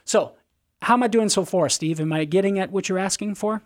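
A man's voice: high voice, brisk. Emphatic Cajun man explaining doctrine. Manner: emphatic, teacherly, addresses caller by name. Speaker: apologist. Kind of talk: explaining doctrine on call-in radio.

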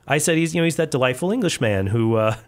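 A man's voice: medium pitch, lively intonation, quick pace. A man hosting a podcast in casual conversation, asking curious questions and introducing the topic in a chatty way. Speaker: podcast host.

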